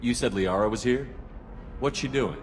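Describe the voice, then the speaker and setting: A man's voice: mid-range voice, moderate pace, close to an everyday voice. A man playing a direct, inquisitive military commander in a video game, questioning in a businesslike way. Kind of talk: scripted video game dialogue. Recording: clear ambient and vehicle noise.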